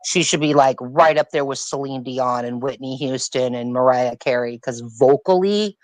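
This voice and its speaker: A woman's voice: a bass voice, moderate pace, darkish tone, dry recording. A woman on a live-streamed panel chat, talking about music in an emphatic, opinionated way and repeating her points. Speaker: panel guest.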